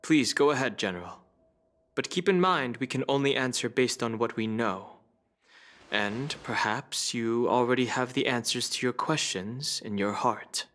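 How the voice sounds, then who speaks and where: Composed male adventurer, man actor for a story game, courteous and guarded, medium pitch. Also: a little slow, a bit bright, natural voice. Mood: calm, guarded. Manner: polite, guarded. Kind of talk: game voice acting.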